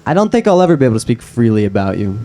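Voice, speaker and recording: A man's voice: medium pitch, sing-song, rapid-fire, mellow. A man reading the part of an earnest, smitten young man with dry humor at a screenplay table read. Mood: tender, earnest.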